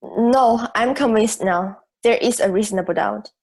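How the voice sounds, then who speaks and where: A young woman playing a logical, self-assured juror in an amateur audio drama, speaking in a measured, articulate way. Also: on the high side, a little mellow, husky. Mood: conceding, calm. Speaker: student actor in school drama class.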